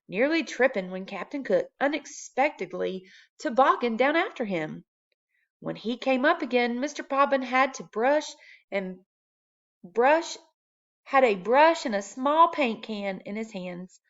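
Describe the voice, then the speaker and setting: A woman's voice: a little high, expressive, a little slow, dull audio. A woman reading a children's chapter book aloud as a narrator. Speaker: storybook reader.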